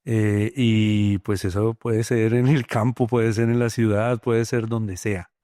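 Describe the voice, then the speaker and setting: Reflective Bogotá-accented man, smooth voice. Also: lowish voice, little pitch movement, slow, mellow, no room sound, clean studio sound. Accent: Bogotá Colombian Spanish. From Colombia. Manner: thoughtful, many hesitant fillers. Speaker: poet. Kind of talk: reflective interview talk.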